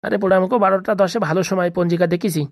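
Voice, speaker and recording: A woman voicing an irritable, bossy male thief in a comedy cartoon, grumbling and scolding in rough slang. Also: fairly low pitch, steady tone, racing, dry recording, studio quality, close to an everyday voice. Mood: instructing.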